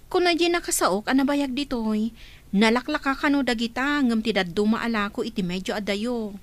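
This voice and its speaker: A woman's voice: fairly high pitch, normal speed, natural voice. A woman playing a defensive grown daughter who lives away from home, in an Ilocano radio drama. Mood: casual, planning. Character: grown daughter working away, defensive about house purchase.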